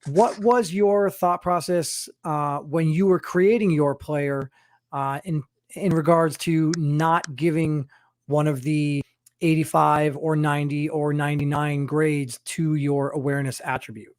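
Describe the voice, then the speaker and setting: A man's voice: fairly high pitch, fairly even intonation, a little slow, dry recording. A man hosting an interview, asking long winding questions. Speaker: interviewer host. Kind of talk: podcast interview.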